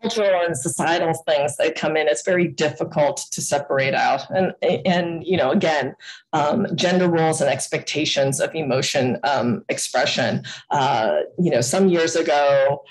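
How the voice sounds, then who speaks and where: A woman's voice: low voice, moderate pace, rough recording. A woman giving an online lecture over a video call, talking casually with many fillers and restarts. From United States.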